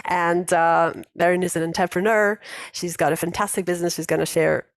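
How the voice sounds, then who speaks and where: A woman's voice: fairly low pitch, fairly even intonation, brisk, dry recording. A woman in her forties hosting a casual online English class over a video call, chatty, laughing often and restarting her sentences. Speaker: host of an English conversation class.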